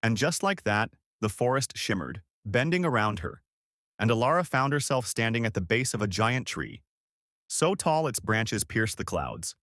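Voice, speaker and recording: A male storyteller reads a children's fairy tale from a script. His pitch is on the low side and moves in lively swings. The sound is dry and close, with no room around it. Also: moderate pace, dry recording. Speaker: storyteller, narrator.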